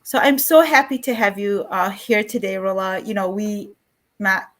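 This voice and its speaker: A woman speaks as the host of a discussion series, with a mid-pitched voice. She presents and questions a guest in an interview style. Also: normal speed. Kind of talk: online interview, reading an introduction then chatting.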